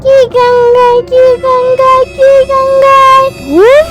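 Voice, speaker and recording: A woman's voice: very high-pitched, steady tone, takes it slowly. A woman voicing a small, playful child in a comic cartoon, with a silly sing-song delivery. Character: small child singing silly nonsense songs. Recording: loud music over the voice.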